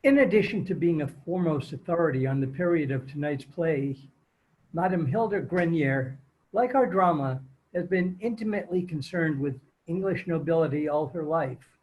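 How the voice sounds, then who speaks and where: Old-time radio host, played by a man: courteous, formal announcing, slightly high voice. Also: expressive, moderate pace, highs cut off, natural voice. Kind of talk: 1930s radio announcing, amateur table read.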